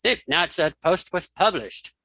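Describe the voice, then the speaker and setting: A man giving a casual spoken software walkthrough as an instructor, thinking aloud informally as he demonstrates. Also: fairly high pitch, animated, moderate pace, gravelly, husky, no room sound. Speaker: screen reader tutorial instructor.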